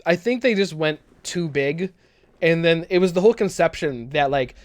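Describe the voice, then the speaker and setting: A man's voice: fairly high pitch, expressive, moderate pace, dry recording. A young man hosting a casual gaming podcast, rambling and laughing often. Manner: rambling, laughs often, repeats words while restarting. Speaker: podcast host.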